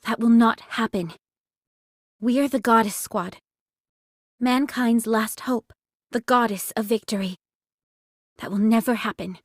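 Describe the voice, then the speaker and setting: A young female android commander holds a squad together with firm, insistent urging. Her voice is on the high side, earnest and strained. The game drama recording is dry and close. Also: measured pace, pauses often, no room sound. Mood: determined.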